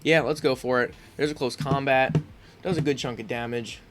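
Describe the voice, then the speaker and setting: A man's voice: medium pitch, steady tone, normal speed, music in the background. A congested man giving casual commentary on his own game, thinking aloud with frequent ums and restarts. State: congested, sniffling. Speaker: gaming YouTuber.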